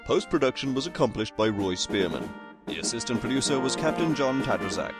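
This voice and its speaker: An actor playing a composed, dryly wry announcer in a scripted audio comedy. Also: male voice, medium pitch, very animated, a little fast, loud music behind the voice, lightly acted. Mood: formal announcing. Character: wry, unflappable male radio-show announcer.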